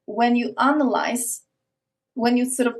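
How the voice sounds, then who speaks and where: Eastern European woman, earnest and halting. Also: fairly high pitch, fairly even intonation. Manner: earnest, frequent restarts and repeated words. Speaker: podcast guest. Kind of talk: casual interview talk. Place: Arctic north of Norway.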